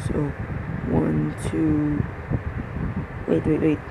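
Filipino-accented male gamer, unhurried, halting delivery, noisy hum behind him. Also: fairly high pitch, completely flat delivery, gravelly. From Philippines. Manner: hesitant, halting, many fillers and restarts. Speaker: gaming channel host. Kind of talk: casual let's-play commentary.

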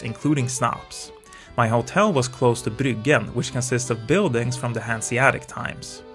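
A middle-aged man from Sweden narrating his motorcycle travel vlog in a calm, measured voice-over. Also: mid-range voice. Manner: calm, informative, measured delivery. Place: voice-over, not recorded on the road. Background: faint music.

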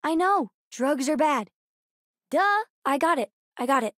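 A woman voicing a bright, bookish, sassy young girl in a video game, earnest, chatty and precocious. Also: high-pitched, expressive, a little slow, no room sound, clean studio sound. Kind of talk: video game character dialogue.

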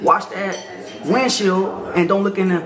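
Laid-back slangy young man, high voice. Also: steady tone, unhurried, a crowd in the background. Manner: laid-back slangy talk, frequent "you know what I'm saying". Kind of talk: casual chat. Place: apartment, indoors. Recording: echoey room with background noise.

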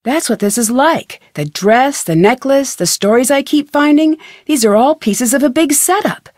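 Young woman sleuth for a video game, friendly n curious, highish voice, super dry studio sound. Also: animated, moderate pace, a little mellow, dry recording. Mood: earnest, determined. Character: friendly, curious, plucky young female amateur detective. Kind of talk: video game voice acting, conversational.

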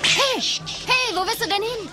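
An actress playing a brash, feisty young adventuress with a sassy, teasing way of talking, in a German film dub. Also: female voice, very high-pitched, measured pace. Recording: loud film music and crowd noise behind.

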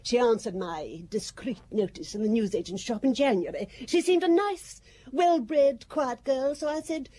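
A woman's voice: fairly high pitch, moderate pace, dark tone, slightly rough. An actress playing an indignant, haughty old landlady in a radio drama, defensive and emphatic. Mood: disapproving.

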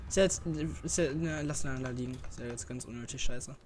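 A man chatting casually while playing an online game with a friend, joking and bursting into yells during fights. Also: medium pitch, a little fast, background noise. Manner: excitable, jokey, bursts into yelling during fights. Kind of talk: casual gaming chat.

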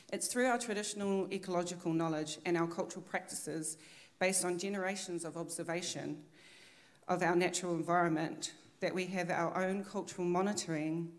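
A woman in her thirties with a New Zealand accent, speaking on a conference panel into a handheld mic, measured and pausing often with "um". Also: sits low. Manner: measured, frequent "um" pauses. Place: large conference hall.

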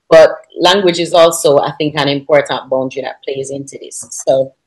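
Moderator: a woman on a video call, low-pitched, measured, posing questions to the panel. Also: low-quality recording.

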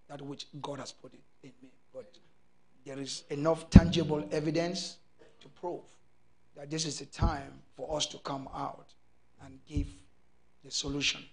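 A middle-aged man with a Cameroonian accent introducing himself as a pastor, in halting short phrases. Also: a little high. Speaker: pastor, talk-show guest. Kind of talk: self-introduction on a panel. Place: indoor TV studio, seated.

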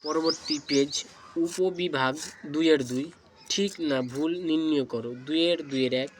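A man reading out exam questions and answers as a tutor, in deliberate, segmented phrases. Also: fairly high pitch, noisy background. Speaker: exam tutor, channel host.

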